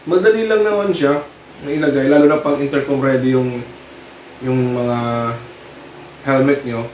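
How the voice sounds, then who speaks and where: A Filipino man giving a casual hands-on tutorial, talking informally in short, halting phrases as he works. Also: medium pitch, steady tone, darkish tone. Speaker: vlogger demonstrating product installation. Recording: roomy, distant mic with clear noise.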